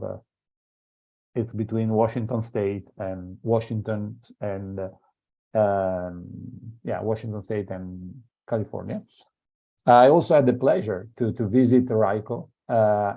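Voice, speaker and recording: A man's voice: lowish voice, mellow. A middle-aged man with an Italian accent giving an academic seminar talk, hesitant, with many fillers and restarts. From Italy. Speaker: invited seminar speaker.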